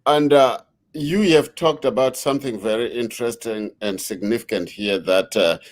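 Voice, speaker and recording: A middle-aged man hosting an interview, a father of three, speaking deliberately with frequent fillers as he frames his questions. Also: medium pitch. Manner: slow, deliberate, with many fillers. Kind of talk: conversational interview.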